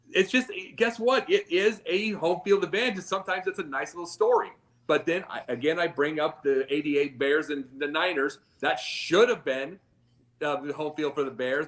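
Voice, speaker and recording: A man with a slightly high voice argues sports on a casual talk panel. He rambles and repeats himself to drive his opinions home. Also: a bit bright.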